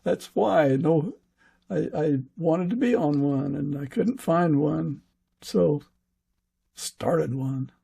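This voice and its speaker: A man hosting a podcast, chatting casually with a guest and pausing often mid-sentence. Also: a little high, gravelly, mellow, husky. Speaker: podcast host; fiction author. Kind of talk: casual interview chat.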